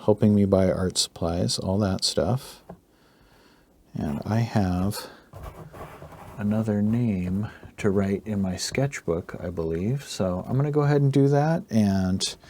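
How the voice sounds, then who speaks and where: A man narrating a relaxed drawing tutorial as the channel host, his voice slightly hoarse, talking loosely with fillers. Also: lowish voice.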